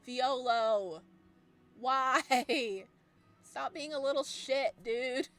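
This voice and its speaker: A woman hosting a let's play, reading a visual novel aloud and breaking in with excitable, giggly reactions while faint game music plays. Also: high-pitched, extremely slow.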